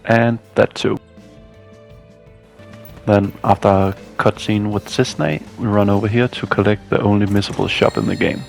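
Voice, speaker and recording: A man with a fairly low, slightly gravelly voice narrates a video game walkthrough. He speaks as a guide reading through prepared steps, with faint music behind him. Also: moderate pace.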